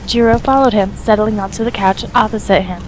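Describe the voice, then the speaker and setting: A woman's voice: mid-range voice, fairly even intonation, a little fast, background noise. A woman reading scripted story narration as the narrator of an audio drama.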